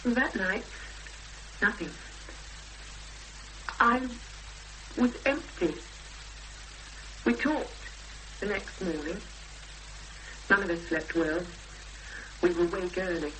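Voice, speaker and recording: A woman's voice: mid-range voice, slow, long pauses, fairly bright tone. An actress playing a frightened, weary woman searching for her missing sister in a 1970s radio drama, speaking in short, intense, reflective phrases. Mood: subdued. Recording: noisy 1970s radio broadcast recording.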